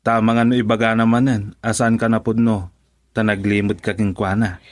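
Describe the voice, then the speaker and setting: An actor with an Ilocano accent playing a friend who gives steady, persuasive advice in a radio drama. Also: male voice, on the low side, moderate pace, no room sound, lightly acted. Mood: earnest. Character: friend giving advice, earnest man.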